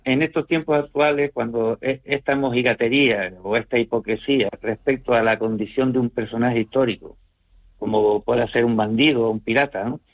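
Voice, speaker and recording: A man, a novelist from the Canary Islands, answering radio interview questions in a measured, thoughtful way with frequent hesitations and tag questions. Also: medium pitch. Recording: muffled radio broadcast.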